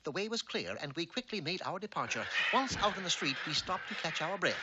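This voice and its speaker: A man's voice: fairly high pitch, big pitch swings, brisk, bright, forceful tone, a little hiss or hum, exaggerated voice, poor audio. A man voicing an erudite, unflappable talking dog who narrates a cartoon in a precise, pedantic, droll way.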